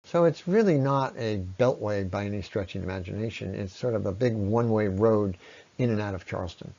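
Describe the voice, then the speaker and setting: A man narrating an informal slide presentation in an explanatory way, with halting pauses. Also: lowish voice, gravelly, mellow, highs cut off. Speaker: presenter on local ecology.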